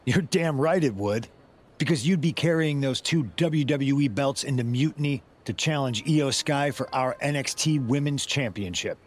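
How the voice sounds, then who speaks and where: A man's voice: medium pitch, normal speed, lightly acted. A man playing a persuasive rebel wrestler in a video game cutscene, earnest and knowing as he presses his case. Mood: persuasive, intense. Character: persuasive male rebel wrestler leading a mutiny.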